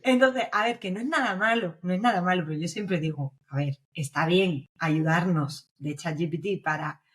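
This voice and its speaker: A woman's voice: fairly low pitch, normal speed. A young woman from Spain with a Spanish accent, explaining her views as a guest in a casual podcast interview and often checking in with her listener. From Navarre, Spain. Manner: explanatory, checks in with frequent tag questions. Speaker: guest, developer advocate.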